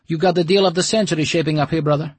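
A man's voice: on the high side, fairly even intonation, very fast, breathy edge, no echo, pitched above the performer's own voice. A man doing a brash, pushy broker. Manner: loud, pushy, bargaining. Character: brash, wheeling-dealing male broker.

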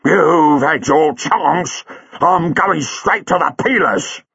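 A man's voice: sits high, sing-song, normal speed, highs cut off, noisy background, pitched above the performer's own voice. A man voicing a raised-voice cabbie, angry. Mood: furious. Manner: blunt, furious, dropped grammar. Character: angry working-class male cab driver.

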